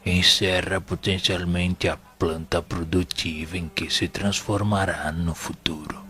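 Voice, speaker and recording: A man with a Brazilian accent playing a venerable, wise and patient spirit mentor in an audio novel, speaking in a formal, didactic, measured way. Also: low voice, little pitch movement. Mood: solemn, instructive. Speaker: audio drama actor. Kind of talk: solemn scripted spiritist teaching in an audio novel.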